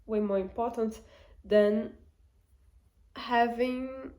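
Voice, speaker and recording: Slow-talking woman with a Portuguese accent. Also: fairly high pitch, fairly even intonation, dark tone. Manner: unhurried, thinks aloud with many fillers and restarts. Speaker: vlogger. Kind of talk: casual reflective talk to camera.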